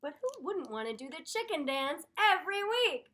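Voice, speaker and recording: Woman as a fussy, excitable high-voiced storybook chicken. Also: normal speed, full character voice. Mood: excited. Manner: flustered, theatrical, coy. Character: pesky, excitable chicken narrator, fussy and nosy. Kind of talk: picture book read-aloud.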